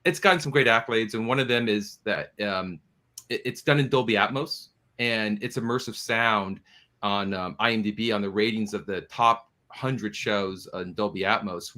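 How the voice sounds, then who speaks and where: Lower-pitched man in a panel interview. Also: normal speed, sharp tone. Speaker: producer, interview guest.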